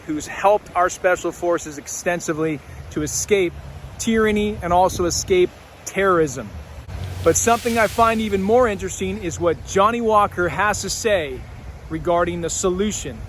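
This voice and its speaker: A man's voice: high-pitched, normal speed, fairly bright tone, slight background noise. A man hosting a political commentary show, speaking emphatically and indignantly. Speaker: host.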